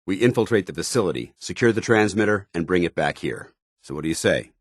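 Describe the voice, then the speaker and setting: An American actor playing a stern, disciplined armored soldier in a video game, speaking in a formal, clipped, commanding way. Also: male voice, low-pitched, lively intonation, fast talker, no echo, clean studio sound. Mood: formal, authoritative. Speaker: video game companion character. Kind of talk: scripted game dialogue and combat barks.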